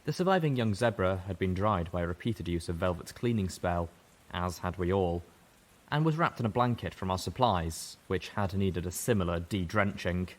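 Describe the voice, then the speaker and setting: A man reading a story aloud in first person, steady and measured. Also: sits low. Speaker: audiobook reader. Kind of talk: first-person narration of fan fiction.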